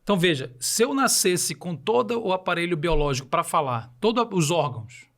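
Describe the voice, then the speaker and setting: A man's voice: high voice, animated, fairly quick, fairly bright tone. A man from Belém do Pará with a Paraense accent, explaining ideas on a podcast and asking rhetorical questions as he goes. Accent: Paraense Brazilian Portuguese. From Belém do Pará, Brazil. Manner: didactic, uses rhetorical questions. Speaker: podcast guest.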